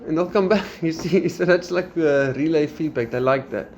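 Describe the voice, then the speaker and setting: A man's voice: on the high side, normal speed. South African man with an Afrikaans background. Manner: animated, quotes his students. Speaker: podcast host. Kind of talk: casual chat. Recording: slightly dull sound with faint background noise.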